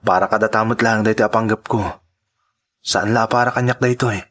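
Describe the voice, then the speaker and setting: A man's voice: lowish voice, steady tone, fast talker, fairly bright tone, close to an everyday voice. A man with an Ilocano accent playing an earnest, devoted young son from a poor family in a radio drama. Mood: earnest, determined.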